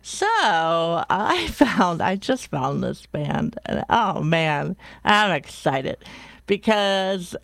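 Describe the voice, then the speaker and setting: An older woman with a deep voice hosting a community radio show in casual chat. She rambles with enthusiasm and often corrects herself mid-sentence. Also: big pitch swings, drawn-out words, creaky, a bit bright, no room sound. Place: radio studio.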